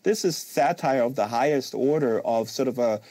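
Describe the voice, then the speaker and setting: A man's voice: medium pitch, normal speed, mellow, no echo. A man hosting a casual, unscripted live conversation, talking in a rambling, emphatic way given to hyperbole. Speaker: host of a live social VR book reading.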